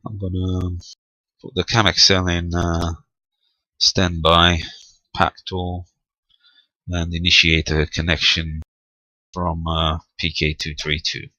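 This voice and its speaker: Deep, slow-talking man with a sharp, bright edge. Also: level tone, no room sound, rough recording. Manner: hesitant, thinking aloud while typing. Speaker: amateur radio tutorial presenter. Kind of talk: unscripted screen demonstration, step-by-step.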